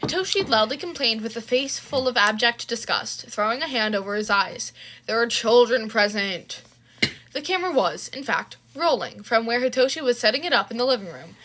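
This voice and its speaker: A woman reads fan fiction aloud as the narrator. Her voice is bright and slightly high, and she tells the story expressively. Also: animated, fairly quick, hardly any pauses, a little hiss or hum.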